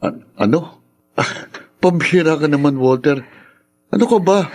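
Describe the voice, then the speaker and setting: A man's voice: a little high, a little slow, a little mellow, natural voice. A man playing a concerned, easygoing young friend with a Filipino accent in a radio drama. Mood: exasperated, amused.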